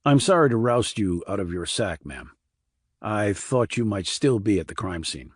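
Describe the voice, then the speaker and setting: Unflappable Army investigator, male narrator, lowish voice, terse, formal, wry, audiobook, close dry recording. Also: animated, normal speed, husky, no room sound. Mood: controlled, polite. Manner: clipped, formal, military. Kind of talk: audiobook character acting.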